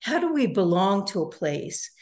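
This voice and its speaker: A woman interviewer asking long, measured questions over a video call from home, often saying "you know". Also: medium pitch, big pitch swings, darkish tone. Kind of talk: conversational interview.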